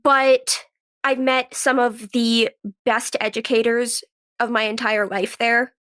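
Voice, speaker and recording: A young woman with a fairly high voice, speaking while she has a cold. She talks in a casual, conversational interview style, dropping "like" often into her sentences as she explains things. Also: flat delivery, slow pace, a bit bright. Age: 20s. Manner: youthful, conversational, peppers talk with "like". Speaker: guest, actress and writer.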